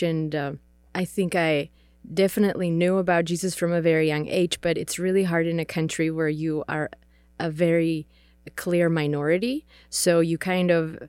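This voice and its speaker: A woman from the Czech Republic speaking English with a Czech accent, calmly sharing her background in a podcast chat. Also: low-pitched, smooth, no room sound. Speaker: missionary, ministry partner. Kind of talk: casual podcast conversation.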